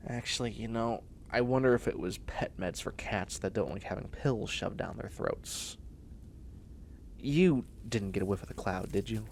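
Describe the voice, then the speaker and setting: A man's voice: lowish voice, normal speed, vocal fry, a little hiss or hum. A man playing a flustered, sardonic young man turning into a catboy in a comedic sci-fi audio roleplay, stammering with exasperation and sarcasm. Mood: irritated, woozy. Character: flustered, sardonic young man sprouting cat ears and tail.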